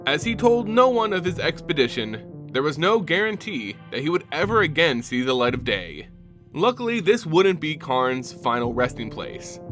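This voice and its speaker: A man narrating a scripted fantasy story dramatically, with theatrical, deliberate phrasing. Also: on the high side. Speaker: channel host and narrator.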